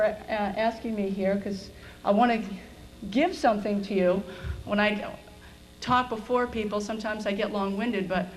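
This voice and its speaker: A middle-aged American woman, a school administrator, giving an informal, conversational commencement speech with a self-deprecating streak. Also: medium pitch. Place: on stage at high school graduation. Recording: noisy event video with room ambience.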